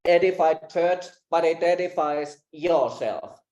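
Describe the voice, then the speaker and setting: Woman preacher, low voice, echoey room. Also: dark tone. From Finland. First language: Finnish. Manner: speaks short phrases, pausing for translation. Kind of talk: teaching through an interpreter.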